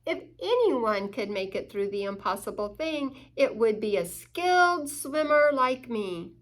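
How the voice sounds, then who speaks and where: A self-assured storybook lady otter, played by a woman. She turns others down politely but firmly, in a high voice with very animated pitch. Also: unhurried. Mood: politely dismissive.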